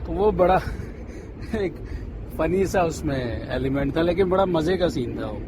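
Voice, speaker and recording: A man's voice: on the high side, expressive, a little slow, background noise. A man chatting casually as an interview guest. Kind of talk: casual chat.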